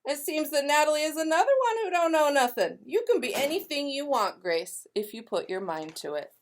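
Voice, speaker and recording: Woman reading as a reassuring, higher-pitched storybook grandmother. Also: sing-song, fairly quick. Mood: firm, encouraging. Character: Grace's Nana, warm encouraging grandmother from Trinidad.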